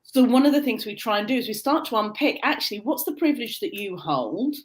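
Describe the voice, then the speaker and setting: A woman's voice: a little high, expressive, quick pace. A British woman, a coach, answering interview questions in an earnest, explanatory way with frequent restarts, over a video call. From Britain. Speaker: guest, neurodiversity coach.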